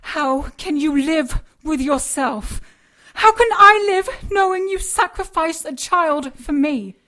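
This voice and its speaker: A woman's voice: high-pitched, expressive, slow, fairly bright tone, exaggerated voice. An actress playing a proud, principled young British woman, a detective's prim and emphatic partner in an audio drama. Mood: outraged.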